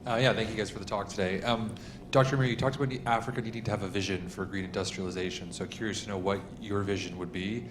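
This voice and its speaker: Deep, fast-talking man asking a question. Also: steady tone. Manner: casual, quick. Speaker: audience member. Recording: echoey hall, noisy.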